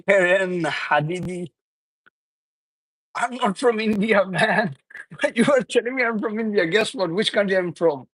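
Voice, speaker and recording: Young male streamer, slightly high voice, from Bangladesh; loose, chuckling banter with chat, dry close sound. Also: unhurried, fairly bright tone, husky, no room sound. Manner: chuckles often, reads viewer comments aloud; loose, repetitive, teasing banter. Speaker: livestream host. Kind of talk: casual live chat with viewers.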